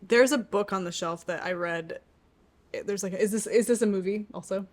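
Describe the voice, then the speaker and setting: A woman's voice: mid-range voice, moderate pace. A woman co-hosting a book channel, chatting casually and playfully with emphatic enthusiasm. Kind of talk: casual playful chat, book guessing game.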